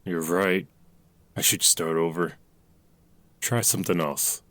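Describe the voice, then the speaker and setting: A man's voice: deep voice, takes it slowly, a bit bright, no room sound. An actor playing a young man who doubts his own songwriting, who speaks in a self-deprecating, frustrated way and mutters to himself in an audio drama. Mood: dejected.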